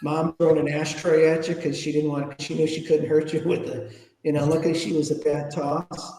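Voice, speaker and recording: A man in his fifties or older telling personal stories conversationally as an interview guest, informal and animated, often asking rhetorical questions. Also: on the high side, fairly quick. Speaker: interview guest, public speaker. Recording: echoey room with long reverb.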